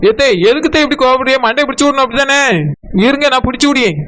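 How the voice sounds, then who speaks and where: Cartoon role: performer doing a meek old husband, fast and mild, loud background noise. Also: high-pitched, fairly even intonation, voice pushed down, lightly acted. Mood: placating. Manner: placating, mild.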